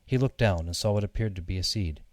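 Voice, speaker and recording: A man with a low voice narrates a children's story. His delivery is measured and expressive, clearly scripted reading. The sound is very dry, with no room around it. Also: no echo. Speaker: audiobook narrator.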